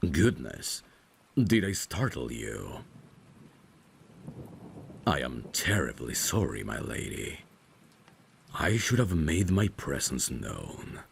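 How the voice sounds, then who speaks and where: Man playing a very deep, creaky vampire butler. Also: big pitch swings, a little hiss or hum, exaggerated voice. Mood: courteous, attentive. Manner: formal, deferential, measured servant's phrasing. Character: courteous centuries-old male vampire butler, gentle and devoted. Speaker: ASMR voice actor. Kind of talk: ASMR roleplay, dramatic scripted acting.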